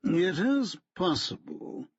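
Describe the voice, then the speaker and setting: A man's voice: high-pitched, sing-song, slow, husky, no room sound, lo-fi sound, voice pushed up, heavily acted. A man voicing a pompous, stiff middle-aged barrister who talks in a haughty, clipped, precise way.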